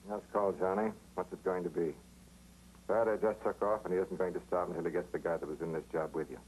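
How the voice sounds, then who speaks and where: An American actor playing a calm, helpful amateur sleuth in a 1950s television crime drama, on a vintage soundtrack. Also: male voice, low voice, fast talker, slight background noise, lightly acted, poor audio. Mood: firm, persuading.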